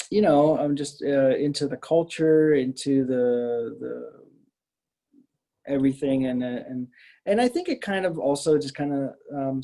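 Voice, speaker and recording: A man's voice: medium pitch, dark tone. A middle-aged American man from the Miami area talking casually as an artist being interviewed, in a hesitant, rambling way with repeated words. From Miami area, Florida, US.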